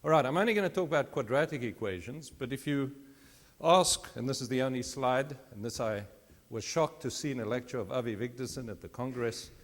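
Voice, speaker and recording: Middle-aged male lecturer, mid pitch, chatty asides, long hall reverb. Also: fairly quick. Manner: conversational, self-deprecating asides. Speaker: mathematics lecturer. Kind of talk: public lecture.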